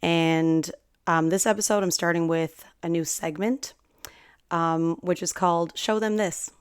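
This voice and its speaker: A woman in her twenties, slightly hoarse, hosting a solo podcast in a chatty, rambling, big-sister way. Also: on the low side, steady tone, a little slow, dry recording, studio quality. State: slightly hoarse from singing at a concert. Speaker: podcast host. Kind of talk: casual solo podcast chat.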